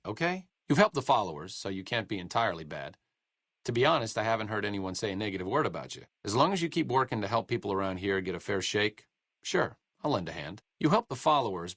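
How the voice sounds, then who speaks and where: An actor playing a sardonic young scholar and medic in a video game, with dry, sarcastic wit. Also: male voice, lowish voice, a bit bright, dry recording, heavily acted. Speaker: game companion character. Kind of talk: studio video game voice acting.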